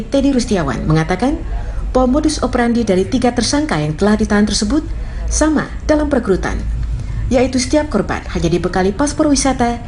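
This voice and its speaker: A woman reporter reading a scripted news voice-over at a steady, even pace. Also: on the high side, a little hiss or hum.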